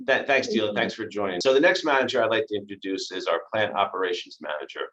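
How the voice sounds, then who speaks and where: A middle-aged man with a low voice introduces staff and often repeats his words. The video call audio from his small office sounds somewhat dull. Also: quick pace, fairly bright tone. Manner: repeats words, upbeat thanks.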